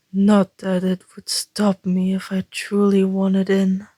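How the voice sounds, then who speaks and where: Ancient vampire for ASMR roleplay , female performer: extremely unhurried, soft mellow tone, breathy whispers, calm and flirtatiously mysterious. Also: mid-range voice, dry recording. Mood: gently teasing. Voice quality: breathy, often dropping into whisper. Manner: slow, deliberate, teasing, with long pauses. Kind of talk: ASMR roleplay, intimate scripted monologue.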